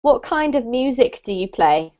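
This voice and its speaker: British woman, online English teacher, high bright voice, laughs a lot, muffled call audio. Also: sing-song, normal speed. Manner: cheerful, laughs often, greets students by name. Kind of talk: casual online class chat. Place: home room in New Zealand. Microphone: video call. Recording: dull lo-fi video call audio.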